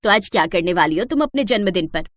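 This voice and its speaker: A woman's voice: medium pitch, lively intonation, fast, dry recording, pitched below the performer's own voice, close to an everyday voice. A woman voicing an older mother-in-law in a moral story, lecturing and emphatic as she praises or scolds. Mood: gushing approval. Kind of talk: acted moral-story dialogue.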